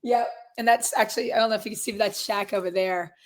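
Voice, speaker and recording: A woman in her twenties with a raspy, hoarse voice, chatting casually as a guest musician from a closet at her grandparents' house. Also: medium pitch, steady tone, fast talker, a little hiss or hum. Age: 20s. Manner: upbeat, laughs often, many fillers. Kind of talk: casual interview chat.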